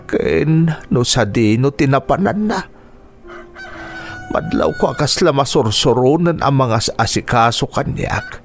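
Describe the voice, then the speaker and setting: A man's voice: medium pitch, big pitch swings, unhurried. A man playing a weak, ailing uncle in an Ilocano radio drama, plaintive and self-pitying. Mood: lonely, self-pitying.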